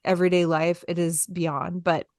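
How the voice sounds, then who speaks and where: A woman's voice: fairly low pitch, level tone, moderate pace, darkish tone, dry recording, studio quality. A woman in her thirties co-hosting a podcast, talking in a chatty, enthusiastic and rambling way. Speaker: podcast co-host. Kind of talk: casual conversation.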